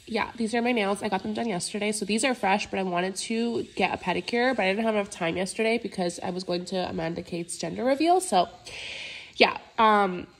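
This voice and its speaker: A young woman vlogger chatting casually to camera with a stuffy, congested, raspy voice, gushing and filling pauses with "like" and "yeah". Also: medium pitch, rough recording. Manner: bubbly, gushing, many fillers like "yeah" and "like". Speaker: lifestyle vlogger.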